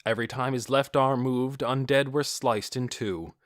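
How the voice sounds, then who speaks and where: A man's voice: mid-range voice, moderate pace, dry recording, studio quality. A man reading scripted fantasy fiction as a dramatic audiobook narrator.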